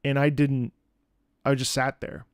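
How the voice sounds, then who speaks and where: A man giving casual, candid reaction commentary as a host, thinking aloud in a halting, reflective way. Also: mid-range voice, flat delivery, no room sound, clean studio sound. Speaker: host, opera singer reacting to a game.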